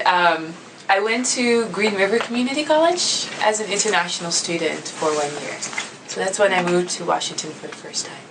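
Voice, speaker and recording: A woman's voice: mid-range voice, a bit bright. A woman in her thirties from the Netherlands, speaking English, introduces herself to a class in an easygoing, chatty way. She sits a little away from the microphone in a classroom, with a faint hum of background noise. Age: 30s. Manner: easygoing and chatty, with many fillers and frequent laughter. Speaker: international student coordinator. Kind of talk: casual self-introduction to students. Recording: slightly distant mic with faint background noise.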